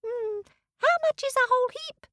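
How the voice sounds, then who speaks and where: A tiny squeaky storybook ant. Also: female voice, pushed and bright, no echo, clean studio sound. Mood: haggling. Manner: squeaky, quick bargaining.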